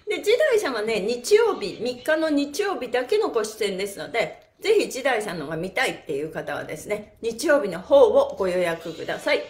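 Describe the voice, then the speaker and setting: Middle-aged female stretch class host: high, playful, polite and animated, recorded in a very roomy space. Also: brisk, hardly any pauses. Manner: animated, cutesy polite instructor patter, often laughing. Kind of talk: live-streamed exercise class and announcements. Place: small indoor room with bare walls. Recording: roomy echo from camera mic.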